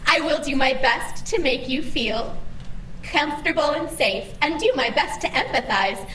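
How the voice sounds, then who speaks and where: A woman's voice: high voice, animated, a little slow, bright, forceful tone, roomy sound, distant mic, a little hiss or hum, exaggerated voice, rough recording. A woman playing an anxious, chirpy AI assistant in an audio drama, with formal, over-eager politeness. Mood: chirpy, earnest. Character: anxious, chirpy female AI assistant guiding the digital afterlife.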